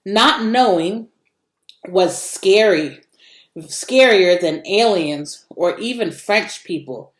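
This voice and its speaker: Woman narrator, expressive read-aloud, children's novel, medium pitch, echoey room. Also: slow.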